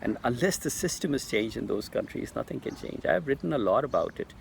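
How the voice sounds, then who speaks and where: A man's voice: medium pitch, big pitch swings, fast talker, gravelly, a little hiss or hum. A man from Pakistan with a Pakistani English accent, speaking straight to camera in an earnest, insistent monologue. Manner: earnest, insistent, addresses Americans directly. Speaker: political commentator.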